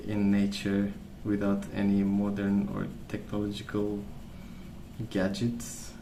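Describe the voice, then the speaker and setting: Soft-spoken man with a creaky low voice. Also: monotone, slow pace, echoey room, slight background noise, poor audio. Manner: hesitant, meandering casual rambling. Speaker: ASMR video host. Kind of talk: soft-spoken ASMR rambling.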